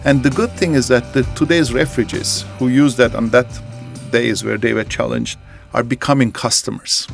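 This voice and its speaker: A man being interviewed as a company executive, answering earnestly in halting phrasing with frequent fillers. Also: mid-range voice, steady tone, gravelly, faint music behind.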